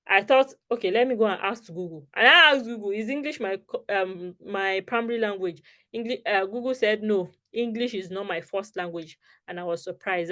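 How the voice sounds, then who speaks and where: A young woman speaks over a video call, leading an online lesson with slides. Her voice sits at a middle pitch. She talks in a chatty way and checks in with her listeners often. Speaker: scholarship advice presenter.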